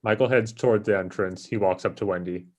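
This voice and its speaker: A man's voice: fairly low pitch, a little fast, a little mellow. A man reading stage directions as scripted narration in a table read. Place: online live stream table read.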